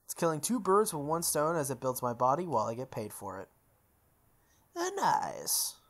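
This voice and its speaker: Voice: mid-range voice, wildly swooping pitch, moderate pace. A person reading visual novel text aloud for a playthrough, stumbling over words and repeating phrases, with offhand asides. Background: music.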